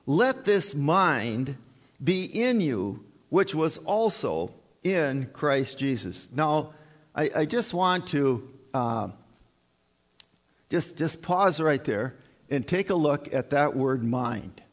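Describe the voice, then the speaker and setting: A man's voice: a little high, very animated, slow pace. A man preaching a sermon in a conversational way, often putting questions to the congregation. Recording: reverberant church hall.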